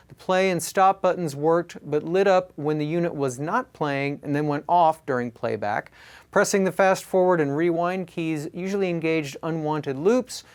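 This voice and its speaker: A man presenting a scripted product review in a clear, measured, instructional way, leaning on the openings of his phrases. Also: fairly high pitch.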